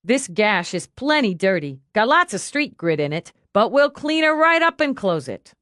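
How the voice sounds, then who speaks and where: A chirpy medic acted by a female audiobook reader talks in a brisk, matter-of-fact way. The delivery is lively, that of a cheerful and long-suffering professional. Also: mid-range voice, no echo, studio quality.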